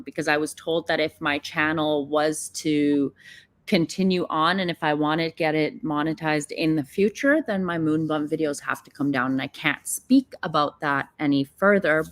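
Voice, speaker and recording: A woman's voice: deep voice, no echo. A woman whose first language is Serbian, talking as a guest on a live stream, earnest and explaining at length. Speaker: guest YouTuber. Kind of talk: casual live-stream chat.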